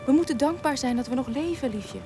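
Worried mother, high voice, lively intonation, children's audio drama, music behind. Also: quick pace. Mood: anxious, soothing. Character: anxious, grateful mother of a small girl. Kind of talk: children's radio play acting.

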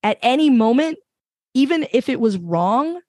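A woman with a fairly low voice, speaking emphatically and passionately as a panelist in a casual discussion. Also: very animated, unhurried, a little mellow, dry recording, studio quality. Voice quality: reasonably low voice. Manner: emphatic, passionate, many fillers. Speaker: podcast panelist.

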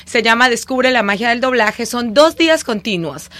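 A woman with a Venezuelan accent, a diction and voice teacher, speaking energetically and clearly as a guest on a radio show. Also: on the high side, moderate pace, dry recording. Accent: Venezuelan Spanish. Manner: energetic, articulate, promotional. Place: radio studio.

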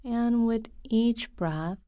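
A woman leads a guided meditation at a very slow pace, softly and calmly. Her tone is mellow and slightly breathy, with long pauses between phrases. The sound is dry and close. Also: fairly high pitch, no room sound. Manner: soft, calm, unhurried, with frequent pauses. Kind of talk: guided body scan meditation.

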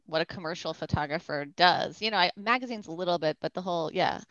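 A woman's voice: on the low side, fast, a bit bright, dry recording, muffled recording. A woman photographer from the Boston suburbs, chatting casually as an interview guest and rambling with lots of fillers. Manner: rambling, many fillers like "like" and "you know".

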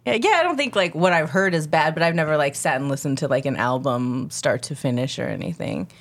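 Young woman, low pitch, blunt crude co-host banter. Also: animated, a little fast. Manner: blunt, crude, dry jokes. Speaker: podcast co-host. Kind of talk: casual chat.

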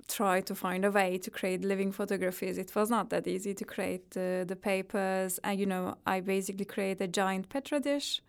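A woman with a Turkish accent explains her ideas in a halting, reflective way, with light laughs between thoughts. Her voice has a gravelly, creaky texture at a middle pitch. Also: steady tone. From Turkey. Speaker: artist guest. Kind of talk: interview conversation.

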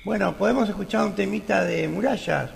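A man hosting a casual radio interview, relaxed and hesitant with many fillers. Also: sits high, brisk, gravelly, long reverb tail. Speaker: radio host.

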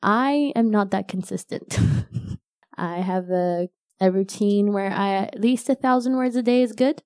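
A young woman whose first language is Arabic, chatting casually as a guest in an interview, self-deprecating and quick to laugh. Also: mid-range voice, darkish tone, dry recording. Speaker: novelist guest.

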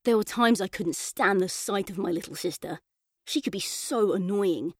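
A woman reading a children's audiobook as a chatty, earnest young boy telling his own story, expressive and colloquial. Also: mid-range voice, moderate pace, no echo, studio quality, close to an everyday voice. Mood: wistful. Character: boy narrator in a coma, chatty, frustrated, yearning. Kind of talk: first-person children's audiobook narration.

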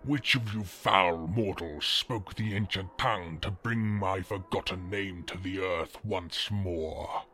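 An actor playing an ancient, pompous, foul-mouthed demon with grand archaic speech, in a comedy sketch. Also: male voice, low voice, takes it slowly, hardly any pauses, sharp tone, heavily acted. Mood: grandly menacing. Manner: grand, archaic, menacing speech breaking into British swearing.

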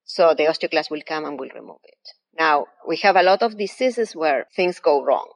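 A woman's voice: sits low, expressive, moderate pace, vocal fry, low-quality recording. A woman from Argentina with an Argentine Spanish accent, a professor explaining her research patiently and methodically as an interview guest.

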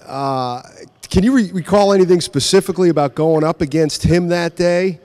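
A man's voice: a little high, normal speed, darkish tone. A male sports reporter asking rambling, hesitant questions on a press conference call.

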